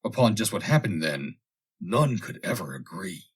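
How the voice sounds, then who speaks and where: A man narrates a vintage comic tale in a fairly low, husky voice. His delivery is dramatic and expressive, with lively swings in pitch as he reads the scripted prose. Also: normal speed. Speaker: audiobook reader.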